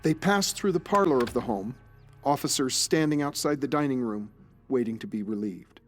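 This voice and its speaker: A man narrating a murder mystery audio drama in a measured, descriptive storytelling style. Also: medium pitch, animated, lightly acted. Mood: measured, somber. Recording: long reverb, music behind.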